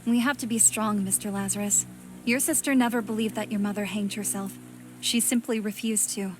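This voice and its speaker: An actress playing a stern, composed investigative reporter in a video game, with a controlled, measured, admonishing delivery. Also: female voice, mid-range voice, fairly even intonation, over music, natural voice, low-quality recording. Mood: grave, determined. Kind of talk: dramatic game voice acting.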